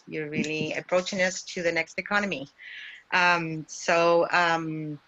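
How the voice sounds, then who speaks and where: A middle-aged woman with a Chilean Spanish accent, halting as she searches for words while presenting informally to an online workshop over a video call. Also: low voice, fairly even intonation, pushed and bright. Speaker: workshop facilitator, cooperative development consultant. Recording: muffled, lo-fi video call audio.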